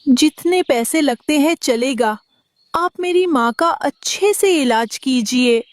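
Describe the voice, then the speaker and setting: A dutiful little boy bird voiced by a woman for a children's cartoon. The voice is high and childlike, with slow, earnest delivery and a dry, close sound. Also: lively intonation, no echo. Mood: distressed. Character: young boy bird, dutiful, worried son.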